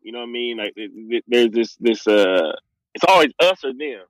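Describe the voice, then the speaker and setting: A man's voice: mid-range voice, lively intonation, normal speed, no echo, rough recording. Man, loose rambling talk, lots of filler words, dry close recording, casual podcast. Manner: loose, rambling, fills with "like" and "you know what I mean".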